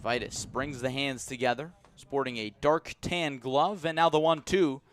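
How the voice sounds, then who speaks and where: A man calling live baseball play-by-play for the radio in a measured, descriptive way. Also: medium pitch, fairly even intonation. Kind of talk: live radio sports commentary.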